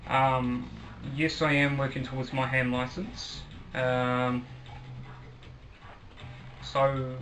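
A young New Zealand man talks through a casual hobby demonstration at a medium pitch. His delivery is halting and hesitant, broken by pauses. It sounds like an amateur recording made in a room at home, with faint noise behind the voice. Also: fairly bright tone, rough recording. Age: young adult. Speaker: hobbyist video maker. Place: small cluttered room at home, indoors.

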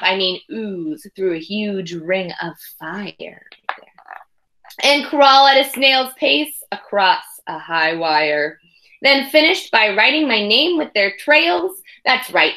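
A woman voicing an eager, boastful little schoolboy in a picture-book read-aloud, excitable and grandiose. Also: mid-range voice, slow pace, exaggerated voice, low-quality recording. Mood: excited, boastful.

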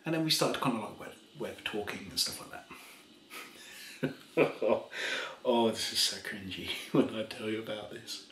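A man of forty telling a story in a casual, soft-spoken way, rambling and laughing at himself, seated in a small kitchen in front of a camera. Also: fairly low pitch, animated, measured pace, long pauses, echoey room, slight background noise. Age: 40s. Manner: rambling, laughs often, self-mocking. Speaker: ASMR creator.

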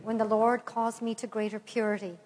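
Woman, Irish accent, forties, parish speaker, slightly high voice, long church reverb, recorded from the pews. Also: slight background noise. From Ireland. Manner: lively, warm address to a mixed-age congregation, then slow measured prayer reading. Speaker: retreat speaker. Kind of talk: parish talk and led prayer. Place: large church sanctuary. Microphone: far camera in the pews.

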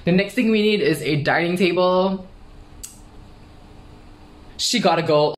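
A man's voice: high voice, a little slow. A young man in his twenties vlogging casually to a phone at arm's length, gushing and playful, with music playing underneath. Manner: gushing, animated, playful asides. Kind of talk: casual vlog chat. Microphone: phone camera at arm's length.

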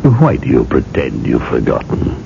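A man acting in a 1980s radio drama as a stern, knowing interrogator who questions in a probing, deliberate way. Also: rumbling low, sing-song, vocal fry, heavily acted. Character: stern, knowing male interrogator, calmly relentless judge. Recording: dull, noisy old radio recording.

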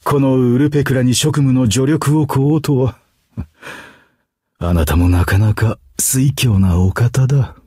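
An actor voicing a suave, poetic masked fox phantom thief in a video game, with flowery, courtly, dramatic phrasing. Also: male voice, mid-range voice, slow pace, darkish tone, slightly rough, no echo, clean studio sound. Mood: courtly, amused. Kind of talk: stylized Japanese game character voice acting.

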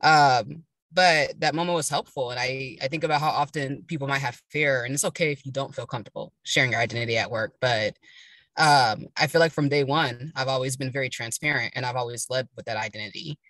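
Bright voice, thinking aloud from a car. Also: medium pitch, fairly quick, no room sound. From Kansas. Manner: thoughtful, thinking aloud with many fillers. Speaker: panelist, social worker. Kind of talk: casual panel talk. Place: parked car. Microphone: video call.

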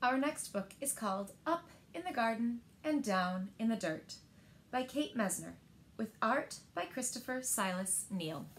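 A woman in her thirties reading a picture book aloud to children in a gentle, deliberate manner, pausing to ask them questions. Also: fairly high pitch, lively intonation, slight background noise. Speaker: library storyteller. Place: indoors, small living room by windows.

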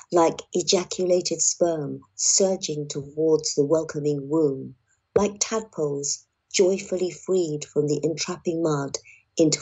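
A woman's voice: deep voice, warm tone, breathy edge, poor audio. A woman who works as an actor and narrator, speaking in a podcast conversation. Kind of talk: podcast conversation with read-aloud passages.

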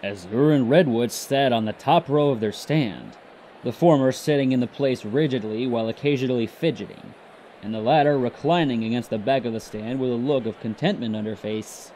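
A man's voice: mid-range voice, brisk, warm tone, a little hiss or hum, low-quality recording. A man narrating a scripted story between lines of character dialogue.

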